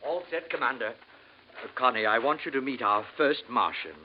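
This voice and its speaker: A man's voice: mid-range voice, a little fast, lightly acted. Guy doing awed scientist explorer, mid voice, bit hesitant, muffled radio drama w faint hiss. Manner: hesitant, marveling. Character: awed, curious male scientist on space expedition. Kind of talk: vintage radio drama acting. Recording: dull, faintly noisy old radio recording.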